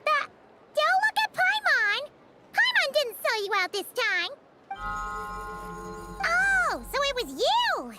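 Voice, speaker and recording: A woman voicing a tiny, childlike fairy companion in a video game, perky yet fretful, with a squeaky voice. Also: sing-song, takes it slowly, long pauses, exaggerated voice. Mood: startled. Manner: perky, speaks of herself in third person. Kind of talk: video game character acting. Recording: loud game music behind.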